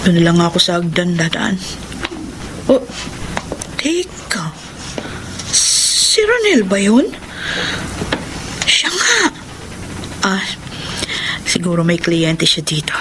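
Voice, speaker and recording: A woman's voice: fairly low pitch, sing-song, slow pace, pauses often, noisy background. An actress playing a suspicious, hurt wife in a melodramatic Tagalog radio drama, speaking her thoughts aloud. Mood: nervous, muttering. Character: distraught betrayed wife, suspicious then furious.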